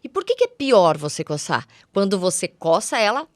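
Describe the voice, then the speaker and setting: A woman's voice: medium pitch, sing-song, normal speed, no room sound, studio quality. A woman with a Brazilian accent speaking as a guest eye doctor, explaining things conversationally and didactically, repeating words for emphasis and using affectionate diminutives. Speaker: guest ophthalmologist. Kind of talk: conversational medical explanation for lay audience.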